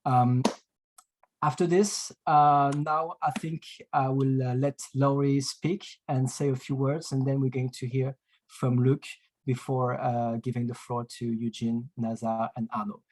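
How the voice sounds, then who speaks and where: A man from France with a French accent, hosting an online webinar in a halting way, full of fillers and restarts, heard over a video call. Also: medium pitch, little pitch movement, darkish tone, rough recording. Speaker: webinar host.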